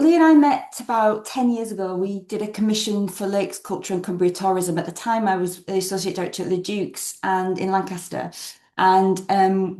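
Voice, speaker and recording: A woman's voice: mid-range voice, a little mellow. A woman presenting her creative work as an arts director in an online talk. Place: indoor room on a video call. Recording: roomy, echoing online call.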